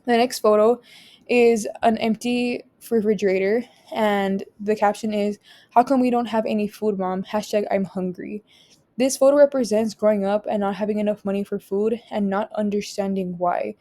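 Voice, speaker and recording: A young woman from Texas whose first language is Spanish presents a photo project, reading each caption aloud and then explaining it in a measured way. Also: medium pitch, fairly even intonation, warm tone. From Rio Grande Valley, Texas. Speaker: student presenter.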